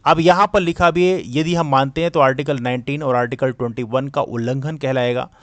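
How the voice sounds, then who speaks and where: A man's voice: medium pitch, steady tone, fairly quick, a bit bright, no room sound. A man giving an explanatory lecture as a coaching teacher, didactic and mixing in English terms. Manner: didactic, code-switches between Hindi and English. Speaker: exam coaching teacher and presenter. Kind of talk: explanatory lecture on current affairs.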